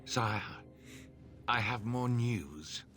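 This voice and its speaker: An actor playing a deferential, measured retainer addressing his lord in a fantasy video game. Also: mid-range voice, animated, music in the background. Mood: cautious. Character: deferential male retainer bringing grave news to his lord.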